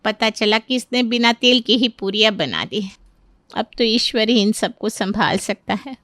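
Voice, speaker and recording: A woman's voice: medium pitch, unhurried, fairly bright tone, no echo, close to an everyday voice. A woman playing a fretful, chatty middle-aged auntie in a cartoon story. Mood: exasperated.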